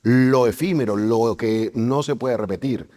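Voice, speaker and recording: A man hosting a conversational interview, thinking aloud in short, halting phrases. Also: medium pitch, dark tone. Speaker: interviewer, host.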